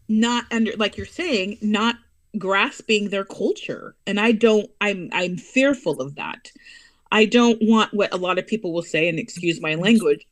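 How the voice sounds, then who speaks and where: A woman's voice: mid-range voice, no room sound. An American woman speaking as a guest in a casual interview, earnest and emphatic about her children and her values. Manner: earnest, emphatic, speeds up and slows down to stress points.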